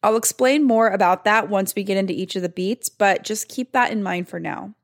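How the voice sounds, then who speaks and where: A woman hosts a podcast about fiction writing, her voice a touch hoarse and froggy. She explains each point in an upbeat, orderly way, as if reading from prepared notes. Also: medium pitch, moderate pace. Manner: upbeat, clear step-by-step explaining. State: slightly hoarse. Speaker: podcast host and writing coach. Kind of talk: scripted podcast teaching.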